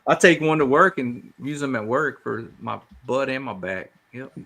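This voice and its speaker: A man's voice: mid-range voice, normal speed, creaky, sharp tone. A man chatting casually as a podcast co-host. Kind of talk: casual chat.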